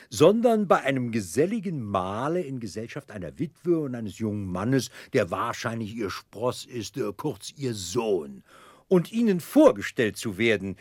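A grandiose, genteel debtor in a radio play. His husky voice rises and falls theatrically through ornate phrases, with pompous hesitations. The recording is dry and close. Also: medium pitch, no echo. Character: pompous, florid, genteel middle-aged debtor gentleman, grandly self-important. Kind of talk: German radio play acting.

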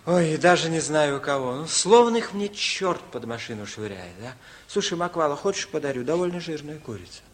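An actor playing a cheeky, lovesick young village driver in a 1950s radio comedy, theatrical and wheedling. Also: male voice, a little high, very animated, a little fast, hardly any pauses. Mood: defensive.

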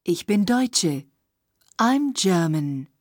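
A woman reading phrases for a language course, slowly and carefully, recorded close to the mic. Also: lowish voice, big pitch swings, darkish tone, no room sound. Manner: slow, careful, clearly articulated phrases.

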